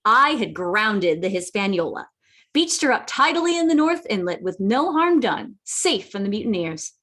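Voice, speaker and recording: A woman's voice: mid-range voice, big pitch swings, normal speed, fairly bright tone. A woman playing an earnest, plucky boy adventurer who narrates a radio play dramatically. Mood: earnest storytelling. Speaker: narrator and lead character.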